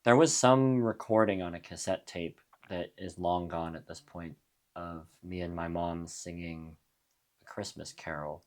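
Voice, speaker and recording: A young adult man from New Mexico, a musician guest in a casual interview, talking in a reflective, halting way with frequent restarts. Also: low voice, fairly even intonation. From USA (New Mexico).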